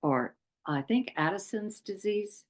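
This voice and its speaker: A woman from Poland giving an unscripted talk on chakras, speaking haltingly with many pauses and fillers. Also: medium pitch, expressive. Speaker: chakra workshop presenter.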